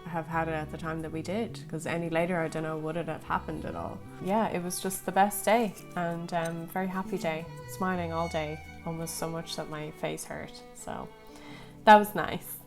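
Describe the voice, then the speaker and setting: A woman's voice: low-pitched, fairly even intonation, normal speed, music in the background. A young woman from Ireland with a slightly American-tinged accent, chatting casually and rambling through viewer questions. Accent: slightly American-tinged Irish. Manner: rambling, light laughs, filler-heavy. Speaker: plant vlogger. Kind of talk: chatty Q&A while repotting.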